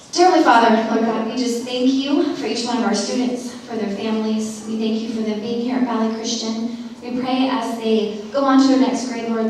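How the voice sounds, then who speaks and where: A woman presenting at a school awards ceremony, thanking parents and introducing teachers in an upbeat way. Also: a little high. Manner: warm, upbeat, thanks and praises the audience. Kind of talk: speaking to an audience at a school ceremony. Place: church hall. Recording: echoey hall, distant mic, audience noise.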